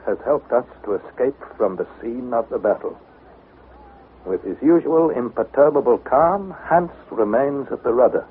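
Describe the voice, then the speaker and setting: An actor playing an earnest young explorer, narrating his expedition in measured, dramatic vintage radio style. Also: mid-range voice, close to an everyday voice. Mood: subdued journal. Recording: tinny, hissy vintage radio broadcast.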